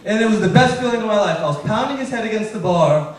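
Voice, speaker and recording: A man's voice: high voice, quick pace. A young man from Afghanistan performing a stand-up comedy set, telling stories and acting out the people in them. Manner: deadpan storytelling, acting out characters in quotes. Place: comedy club stage. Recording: very echoey room, voice heard from a distance.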